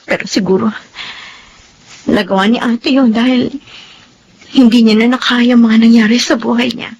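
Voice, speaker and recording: A woman's voice: fairly high pitch, fairly even intonation, normal speed, a little mellow, breathy edge, dull audio. An actress playing a caring young mother and sister in a radio melodrama, with an earnest, emotive delivery. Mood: gently pleading. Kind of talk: melodramatic radio drama acting.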